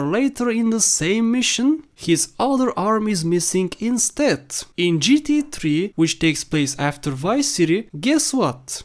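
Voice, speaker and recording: Male gaming video host, high-pitched, informal and emphatic commentary. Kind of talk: casual video game countdown commentary.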